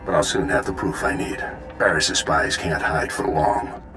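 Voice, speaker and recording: A super deep voiced jedi master, grave and measured, game cutscene with music behind. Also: male voice, pushed and bright. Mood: grave, guarded. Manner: formal, measured, solemn. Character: grave older male Jedi Master, stern and protective. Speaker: voice actor in a video game. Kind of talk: dramatic scripted game dialogue.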